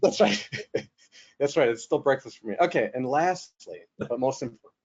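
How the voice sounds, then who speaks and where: A man's voice: mid-range voice, lively intonation, normal speed, darkish tone, slight background noise. A man hosting and moderating a webinar in a casual, joking way, rambling with fillers. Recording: slightly muffled webinar audio.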